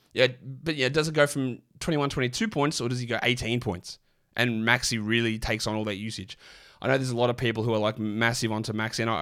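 A man, sick and croaky, hosting a casual basketball podcast with lots of rambling restarts. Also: medium pitch, a bit bright. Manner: rambling, chatty, many fillers and restarts. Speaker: fantasy basketball podcast host. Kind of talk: casual solo podcast talk.

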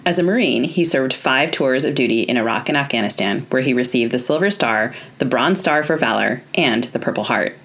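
A woman co-hosting a podcast, reading guest introductions in a crisp, listing delivery. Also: rumbling low, animated, a little fast, hardly any pauses, a bit bright. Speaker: podcast co-host.

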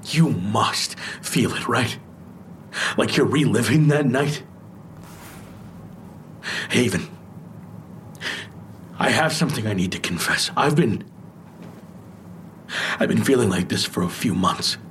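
An actor in an audio drama playing an intense, wounded trauma survivor, speaking in an earnest, insistent, confessional way. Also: male voice, on the low side, expressive, takes it slowly, long pauses, fairly bright tone, a little hiss or hum. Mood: confessional, tense. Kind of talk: naturalistic audio drama acting.

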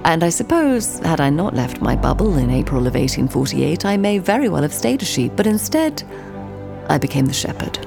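A proud, cultured woman of the 1800s in an audio drama. Her voice sits fairly low, and she speaks with sardonic, theatrical haughtiness and a sharp tongue. Music plays clearly behind her. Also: big pitch swings, a little fast, heavily acted. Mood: wry, confiding. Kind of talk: audio drama acting with confessional narration.

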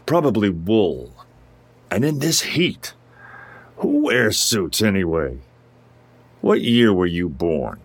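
A man's voice: fairly low pitch, no room sound. A man playing a mocking, swaggering, blunt ex-Marine enforcer in an audio drama. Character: cocky, needling, foul-mouthed ex-Marine tough guy. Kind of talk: audio drama acting, taunting monologue.

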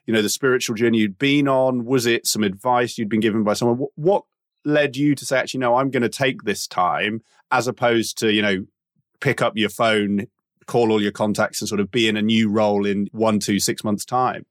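A man's voice: lowish voice, fairly even intonation, moderate pace, no echo, clean studio sound. A man hosting an interview, asking long, winding questions with fillers. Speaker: podcast host.

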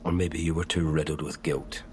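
A man's voice: extremely low pitch, level tone, moderate pace, darkish tone, slight background noise. An actor playing a sardonic, bewildered man in an audio drama, questioning everything in a naturalistic way. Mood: skeptical, pressing.

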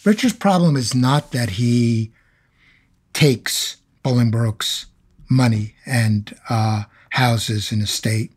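Measured, slightly husky male scholar. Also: medium pitch. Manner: measured, analytical. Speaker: Shakespeare scholar.

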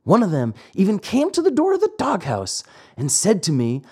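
Reader as an earnest, proud puppet boy, indignant, lively. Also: mid-range voice, moderate pace, breathy edge, voice pushed up.

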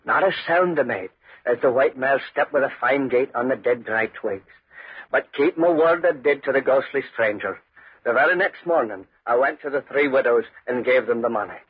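A man's voice: mid-range voice, moderate pace, husky. An actor playing a humble, uneasy tenant farmer who tells his tale in earnest, folksy 1940s radio style. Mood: earnest storytelling. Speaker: narrator and lead character. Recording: muffled, noisy 1940s radio recording.

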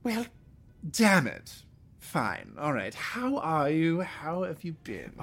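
Pompous flirty alien royal for a sci-fi audio drama, super theatrical, voice all over the place. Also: male voice, fairly high pitch, wildly swooping pitch, slow, creaky, fairly bright tone, heavily acted. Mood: haughty, airy. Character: flamboyant, vain, flirtatious deposed alien prince. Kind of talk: audio fiction acting.

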